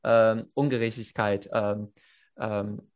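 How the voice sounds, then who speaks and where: A man's voice: fairly low pitch, level tone, slow. A man whose first language is Chinese, speaking German in a casual conversation, hesitant with many fillers and restarts. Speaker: conversation partner.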